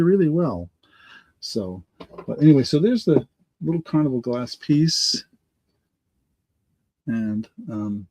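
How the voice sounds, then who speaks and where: A man hosting a live online auction in a rambling, halting way, full of restarts and fillers, hoarse from allergies. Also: lowish voice, a little mellow, no echo. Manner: halting, lots of ums and restarts.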